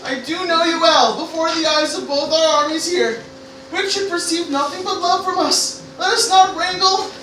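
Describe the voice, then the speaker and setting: A woman's voice: very high voice, fairly even intonation, moderate pace. A woman voicing an earnest teenage boy who pleads and declaims in mock-Shakespearean style for a parody radio play. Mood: earnest pleading. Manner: declamatory, imploring, stilted. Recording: very echoey, distant mic, noisy.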